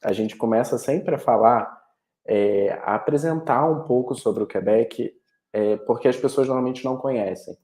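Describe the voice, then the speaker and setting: A man's voice: mid-range voice, normal speed, warm tone, roomy sound. A man with a Carioca accent giving an informative online presentation over a video call, often restarting his sentences. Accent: Carioca Brazilian Portuguese. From Rio de Janeiro, Brazil. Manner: informative, with frequent restarts and fillers. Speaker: international education representative.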